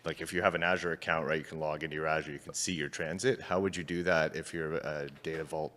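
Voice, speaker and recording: A man's voice: very deep voice, fairly even intonation, quick pace, fairly bright tone. A man in the audience asking direct, probing questions. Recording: long reverb of a conference hall.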